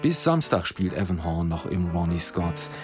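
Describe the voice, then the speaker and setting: A man's voice: deep voice, big pitch swings, moderate pace. A man playing a composed jazz radio presenter announcing a record in a radio play. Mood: calm, smooth. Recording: guitar music behind the voice. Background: music with plucked strings.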